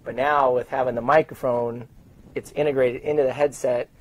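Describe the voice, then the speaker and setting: A man's voice: medium pitch, fairly even intonation, normal speed, a little hiss or hum, poor audio. Middle-aged American man, conversational storyteller. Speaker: sports public address announcer being interviewed. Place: open indoor lobby studio at a desk.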